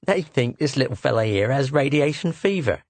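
A man's voice: mid-range voice, lively intonation, normal speed, a little mellow, no room sound, pitched above the performer's own voice. A man voicing a small, cheeky fruit seller in a story, slangy, ingratiating and impudent. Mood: amused, impudent.

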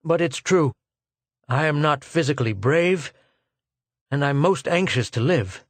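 A man's voice: mid-range voice, animated, moderate pace, dry recording, pitched above the performer's own voice, lightly acted. A man doing a grim, weary old physicist. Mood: earnest. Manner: grim, earnest and somber. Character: weary, haggard older male physicist, grim.

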